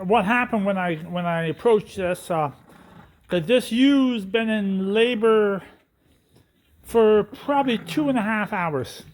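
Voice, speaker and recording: A middle-aged man, a sheep farmer, chatting casually and cracking folksy jokes while he works. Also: sits high. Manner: joking, tells folksy one-liners. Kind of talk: casual chat and farm narration. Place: farm barn and fields. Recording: faint farm background noise.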